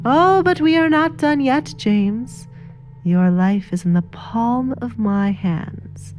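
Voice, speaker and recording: A woman's voice: fairly high pitch, slow, darkish tone, faint music behind. A woman voicing a cruel, scheming baroness in an audio drama, haughty and menacing, with mock-wounded airs. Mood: menacing, commanding. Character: sly, cruel, scheming baroness, a married mother.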